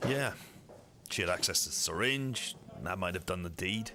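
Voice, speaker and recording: A man acts out a police detective for an amateur radio drama. His voice sits low, and he questions in a measured, formal, matter-of-fact way. There is faint noise behind him. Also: animated. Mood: musing.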